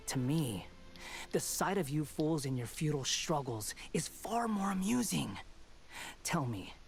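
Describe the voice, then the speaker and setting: An actor playing an arrogant young man who believes he is a god, haughty and taunting in a theatrical video game style. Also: a little high. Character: arrogant young male would-be god, mocking and grandiose. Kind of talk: video game dialogue acting.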